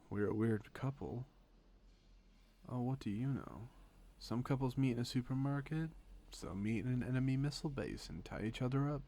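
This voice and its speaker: A man playing a suave, teasing secret agent in an audio roleplay, speaking with wry politeness and calm menace in a creaky voice. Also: on the low side, heavily acted. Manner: polite, wry, unhurried conversational patter with pointed pauses. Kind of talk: scripted audio roleplay, intimate one-sided dialogue.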